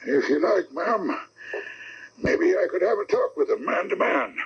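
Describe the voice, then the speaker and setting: A man's voice: sits high, sing-song, unhurried, vocal fry, breathy edge, exaggerated voice. An actor playing a folksy, courteous old male neighbor, hesitant and mild, in a 1970s radio drama. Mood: concerned, gentle. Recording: dull lo-fi 1979 radio broadcast.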